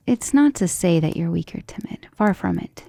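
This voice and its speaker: A woman voicing a centuries-old vampire lover in an ASMR romantic roleplay, soft, breathy and often whispering, intimate and gentle, with formal wording. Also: fairly low pitch, lively intonation, moderate pace, no echo. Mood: admiring, affectionate. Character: centuries-old female vampire lover, tender, protective, self-assured.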